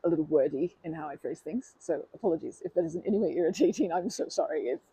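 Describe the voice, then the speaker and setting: A performer in an ASMR roleplay playing a nervous, polite stranger who flirts shyly in a wordy, hesitant ramble, with a husky, creaky voice. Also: mid-range voice, fast talker, mellow, dry recording, poor audio. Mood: nervous, apologetic.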